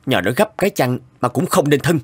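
A man's voice: medium pitch, lively intonation, brisk, slightly rough, dry recording, studio quality. A man voicing a stern, overworked middle-aged father in a Vietnamese cartoon, scolding and exasperated. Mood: angry scolding.

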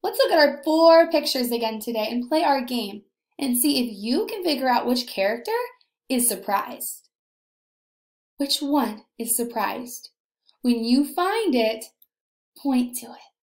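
A young woman teacher leading a read-aloud lesson for young children, animated and encouraging, asking questions and pausing for answers. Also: high-pitched. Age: young adult. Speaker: early elementary teacher. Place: indoor room, echoey. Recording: echoey room.

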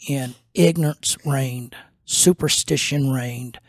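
An older man, a pastor and podcast host, teaching in a slow, rambling way with many fillers and restarts, on a studio mic in a small wood-paneled room. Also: medium pitch, no room sound. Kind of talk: unscripted teaching talk. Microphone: studio mic on a boom arm.

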